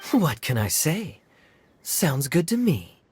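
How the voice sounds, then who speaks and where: An actor playing a suave, gently reassuring young man in a video game, patient and playful. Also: fairly high pitch, very animated, slow, soft background music. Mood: modest, suave. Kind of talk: video game cutscene acting.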